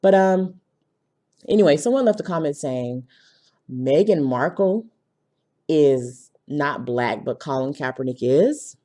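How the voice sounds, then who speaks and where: Low-pitched, blunt woman, slightly hoarse. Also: expressive, slow pace, mellow. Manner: blunt, emphatic, punctuating points with tag questions. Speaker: video commentator. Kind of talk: casual opinion commentary.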